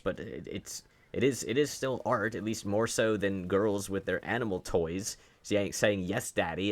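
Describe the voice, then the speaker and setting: A man with a fairly low voice delivers opinionated online commentary. His manner is argumentative and sarcastic, and he leans on rhetorical questions to press his case. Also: a bit bright.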